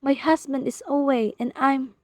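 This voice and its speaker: A woman's voice: sits high, steady tone, normal speed, dry recording, studio quality, lightly acted. A woman acting a nervous, demanding invalid wife in a suspense radio play, imperious and pleading.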